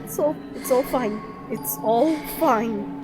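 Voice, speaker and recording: An anxious young heroine from a horror visual novel, read out by a woman, talks herself calm in a high voice. Her delivery is slow, with music clearly heard behind her. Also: poor audio. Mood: pleading, self-soothing.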